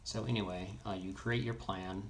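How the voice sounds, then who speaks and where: A man with a creaky, gravelly voice walks through a hands-on tutorial in a loose, informal way. His explanations ramble a little and lean on conversational asides. The recording is clearly noisy, with clicks and typing. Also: deep voice, steady tone, moderate pace. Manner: informal, rambling explanations with frequent "you know". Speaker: radio tutorial presenter. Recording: noisy, with keyboard and clicking sounds.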